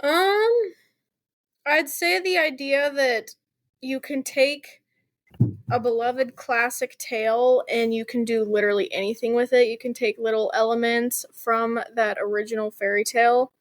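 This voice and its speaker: A woman's voice: fairly high pitch, fairly even intonation, unhurried, dry recording. A woman speaking as a guest author in a casual interview, chatty and informal, with plenty of "like" and "you know".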